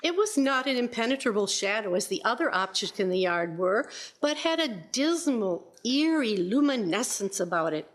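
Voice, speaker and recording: Higher-pitched woman narrating dramatically. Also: very animated, normal speed. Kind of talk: dramatic storybook narration for radio play.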